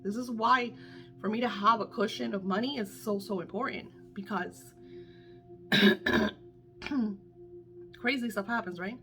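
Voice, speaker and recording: A woman's voice: mid-range voice, unhurried, pauses often, sharp tone, soft background music, low-quality recording. A middle-aged woman coaching her viewers in casual, candid talk, emphatic and swearing freely, her voice a little worn as if she is losing it. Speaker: manifestation coach.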